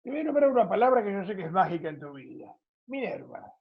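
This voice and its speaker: Male interviewer, older, Argentine accent. High voice, conversational delivery, muffled lo-fi audio. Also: sing-song, fast talker. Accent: Argentine Spanish. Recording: dull lo-fi audio.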